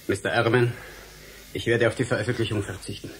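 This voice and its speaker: A male actor plays an earnest, compliant scientist in a radio drama. He talks fast in a fairly low voice, with faint noise in the recording. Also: steady tone, fairly bright tone, close to an everyday voice. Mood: subdued, earnest. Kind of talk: German radio play acting.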